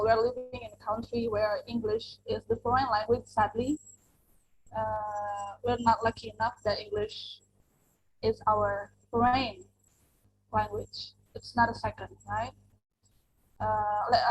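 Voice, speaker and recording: A woman's voice: a little high, measured pace, dull audio, a little hiss or hum. A young adult woman from Indonesia, an English teacher whose first language is Indonesian, answering interview questions earnestly with many fillers and restarts.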